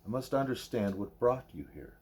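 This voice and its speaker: A man reading the part of a wise, patient gorilla teacher in an audiobook, speaking in formal, measured, probing sentences. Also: medium pitch, expressive, darkish tone, slightly rough. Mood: patient, instructive.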